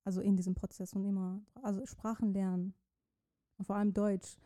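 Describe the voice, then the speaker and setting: Young woman from Iran, native Persian speaker, very dark timbre, halting interview answers. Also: mid-range voice, little pitch movement. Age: young adult. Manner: searching for words, many restarts. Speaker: interview guest, student writer.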